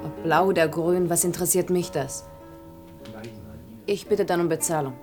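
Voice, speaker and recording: An actress playing a curt, indifferent young barmaid in a German radio play. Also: female voice, lowish voice, fairly even intonation, moderate pace, close to an everyday voice. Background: guitar music.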